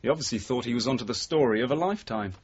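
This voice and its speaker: A British actor playing a dogged, level-headed detective sergeant in a radio drama, terse and measured as he confronts his boss. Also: medium pitch, expressive, slight background noise, close to an everyday voice. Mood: quietly accusing. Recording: dull, muffled old radio recording.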